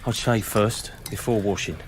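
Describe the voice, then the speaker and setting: An actor in a radio drama playing a sly scavenger, falsely friendly and menacing, talking in clipped sardonic phrases. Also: male voice, lowish voice, steady tone, moderate pace, background noise, natural voice. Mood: quiet menace.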